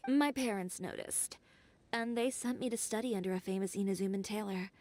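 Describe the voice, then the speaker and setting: An actress playing a proud, headstrong young female tailor who speaks in a calm, measured, reflective way in a video game. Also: medium pitch, lightly acted. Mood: reminiscing. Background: game music.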